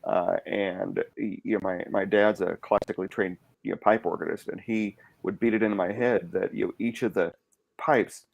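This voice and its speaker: Gravelly low-voiced podcast host, rambling chatty explainer. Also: male voice, fairly even intonation, moderate pace, lo-fi sound. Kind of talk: casual podcast chat.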